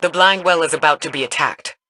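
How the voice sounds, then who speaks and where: A man's voice: fairly high pitch, a little fast, noisy background, close to an everyday voice. An actor playing a grave, authoritative male mentor in a science fantasy video game.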